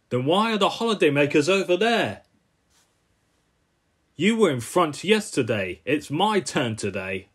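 A man's voice: mid-range voice, a bit bright, husky, heavily acted. Man doing a bossy, squabbling little cartoon engine. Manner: argumentative.

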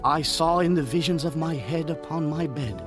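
A man's voice: a little high, normal speed, music in the background, natural voice. An actor playing a proud, commanding ancient king in a dramatized scripture reading, with a solemn, declamatory manner. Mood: solemn, reflective.

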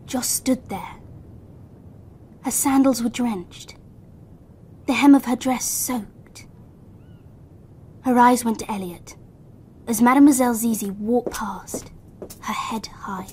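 An observant, wistful narrator in a radio drama. Her voice is fairly high and husky with breath, and she tells the story in measured, literary phrases. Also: dark tone, exaggerated voice.

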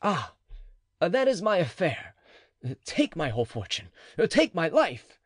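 A man's voice: a little high, wildly swooping pitch, measured pace, dry recording, clean studio sound, voice pushed up, exaggerated voice. A man reading the part of a desperate, anguished nobleman who pleads emotionally. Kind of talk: audiobook character reading.

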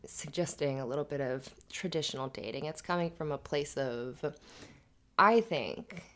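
A woman playing an affectionate, playful girlfriend in an intimate ASMR roleplay, soft-spoken and hesitant. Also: sits low, moderate pace.

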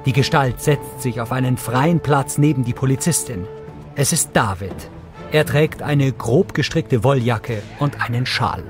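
A man's voice: mid-range voice, unhurried. Male narrator over music. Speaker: audio description narrator. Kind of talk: scripted narration, audio description of a TV series.

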